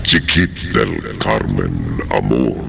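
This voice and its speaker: A man announcing a horror radio drama in a slow, ominous, theatrical style with drawn-out delivery, over music. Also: a bass voice, sing-song, vocal fry, background noise.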